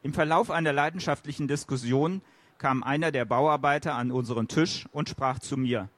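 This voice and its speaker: A male journalist reads a text aloud at a steady, plain pace. His voice sits in a middle register. The sound is dry and close, with no room echo. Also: mid-range voice, normal speed. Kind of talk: reading an essay aloud.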